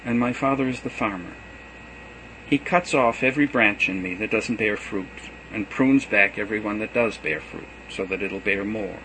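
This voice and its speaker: A man voicing Jesus as a gentle teacher saying farewell to his students, earnest and measured. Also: fairly low pitch, a little hiss or hum, poor audio. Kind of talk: reading a gospel aloud.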